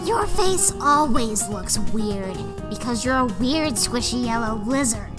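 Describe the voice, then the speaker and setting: Mean little cartoon flower, sarcastic, high-pitched, drawn-out delivery, music behind. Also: female voice, lively intonation. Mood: sneering mockery. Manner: sneering, sarcastic. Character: bratty foul-mouthed talking flower, spiteful and mean.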